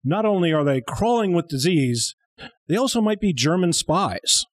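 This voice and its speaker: A man's voice: on the high side, sing-song, normal speed, no room sound. A man in his thirties co-hosting a humorous film commentary show with a dry, sarcastic manner. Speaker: comedy show co-host. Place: small studio. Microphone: close studio mic on boom arm.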